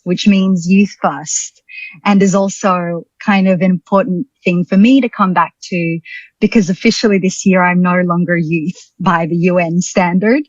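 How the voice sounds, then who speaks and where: A woman's voice: lowish voice, steady tone, moderate pace, no echo, highs cut off. A woman, a podcast guest thoughtfully telling her life story with many ums and restarts. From Australia. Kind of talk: reflective personal storytelling.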